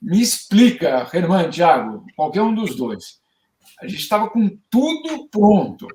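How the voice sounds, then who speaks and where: A man co-hosts over a video call, with a high voice for a man and a hollow, echoey room around him. He speaks haltingly, filling his gaps with drawn-out sounds while he lines up his questions. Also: big pitch swings, darkish tone, a little hiss or hum. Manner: hesitant, full of filler sounds, curious questioner. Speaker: co-host columnist. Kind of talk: casual panel discussion.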